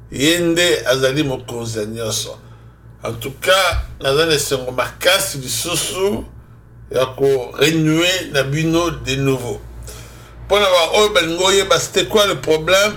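A man's voice: fairly high pitch, bright, forceful tone. A Congolese man with a Congolese accent hosting a religious radio show, preaching conversationally and emphatically as he switches between two languages. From Democratic Republic of the Congo. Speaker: religious broadcast host and preacher.

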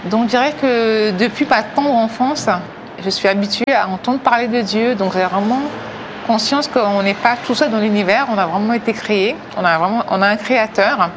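A woman in her thirties from Africa, giving a personal faith testimony hesitantly, with many pauses. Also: fairly high pitch, noisy background. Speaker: testimony speaker.

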